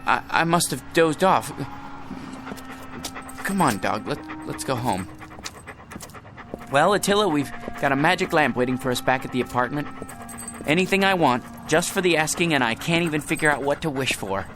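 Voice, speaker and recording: A man's voice: fairly high pitch, lively intonation, normal speed, pauses often, background noise, close to an everyday voice. An actor in a radio drama playing a hapless everyman with grand pretensions, theatrical, moving between pompous and faltering. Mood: rueful. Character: hapless, grandiose everyman dreaming of being president, then genie. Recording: radio drama with music underneath.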